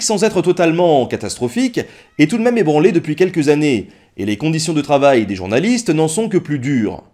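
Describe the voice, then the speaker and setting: A man reading a scripted documentary narration in a measured way, posing rhetorical questions. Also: a little high, dark tone.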